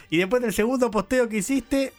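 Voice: medium pitch, lively intonation, normal speed, soft background music. A radio host with a Rioplatense accent, interviewing a guest in a studio in a chatty way and laughing often. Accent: Rioplatense Spanish.

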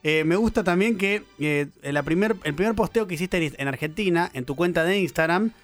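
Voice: mid-range voice, brisk. A chatty radio host with a Rioplatense accent talks to a guest in the studio and laughs easily. Faint music runs underneath. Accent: Rioplatense Spanish. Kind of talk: radio interview.

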